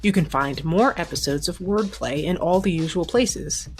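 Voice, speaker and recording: Woman podcast host reading a script, voice a little low, bit of music behind. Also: moderate pace.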